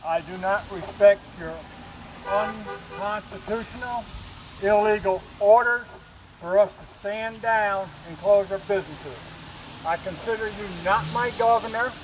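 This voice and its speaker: A man's voice: sits high, a little slow. A man giving an angry, defiant protest speech through a bullhorn, speaking outdoors. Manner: defiant, confrontational. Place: outdoors in rain at a street protest. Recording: noisy outdoor street recording, bullhorn.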